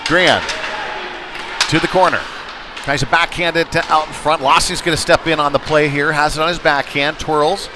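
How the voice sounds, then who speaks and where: A man's voice: fairly high pitch, fairly even intonation, moderate pace, noisy background. A man doing casual, conversational live play-by-play commentary of a youth hockey game from a rink, on a headset. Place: chilly ice rink.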